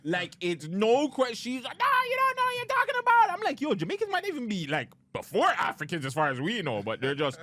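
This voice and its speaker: A man's voice: very high-pitched, wildly swooping pitch, fast, few pauses, sharp tone, no echo. A man hosting a casual podcast interview, quizzing his guest in loose street slang and laughing often. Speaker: podcast host.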